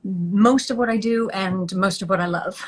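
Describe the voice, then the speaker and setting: Female stage actress guest, mid-range voice, warm, thoughtful, laughs easily, video call. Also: medium pitch, normal speed. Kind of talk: interview answers.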